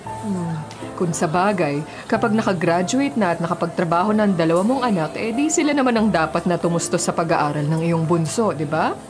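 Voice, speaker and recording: A woman acting a middle-aged veteran teacher in a radio drama, a concerned, opinionated friend with an expressive delivery. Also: lowish voice, a little fast, natural voice. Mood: opinionated, insistent. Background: music.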